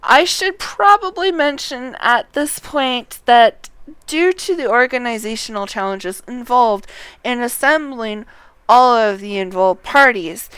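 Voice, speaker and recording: A woman reads out a polite, chatty quest-giver from a fantasy game. The voice is clean and smooth, with slow, careful pacing. The phrasing is formal and a little fussy. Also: on the high side, a bit bright, no room sound. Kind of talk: reading game dialogue aloud.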